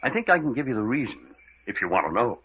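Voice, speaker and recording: An actor playing a stern, blunt adventurer hero in an old-time radio mystery, with a firm, clipped, formal delivery and moral indignation. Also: male voice, medium pitch, very animated, quick pace, slightly rough. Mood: terse. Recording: dull, lo-fi old radio recording.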